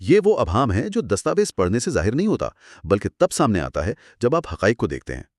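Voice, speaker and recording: Low-pitched man explaining, lively and dry. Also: brisk, no room sound. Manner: didactic, explanatory. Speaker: co-presenter explaining law in a lecture. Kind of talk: scripted two-person explainer dialogue.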